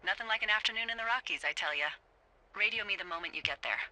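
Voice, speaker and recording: An actress playing a wry, breezy American fire lookout speaking over a two-way radio in a video game. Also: female voice, mid-range voice, rapid-fire, heavy background noise. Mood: coy, breezy. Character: wry, chipper, foul-mouthed adult woman fire lookout. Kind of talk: naturalistic video game dialogue.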